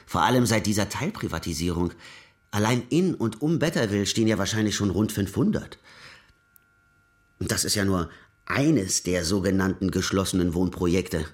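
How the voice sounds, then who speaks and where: A man's voice: low voice, animated, normal speed. An actor playing a sarcastic middle-aged fugitive in a radio crime drama, telling his story in a colloquial, rambling way. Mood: wry, rambling. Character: sarcastic, rambling fugitive man, prosecutor's resentful son. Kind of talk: first-person narration and dialogue in German radio crime drama.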